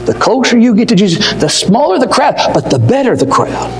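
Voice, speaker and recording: An older man preaching a sermon from a pulpit, swinging from near-shouts down to low, slow words. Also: sing-song, a little hiss or hum. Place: church auditorium. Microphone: pulpit mic, wide far camera. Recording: echoey hall with long reverb.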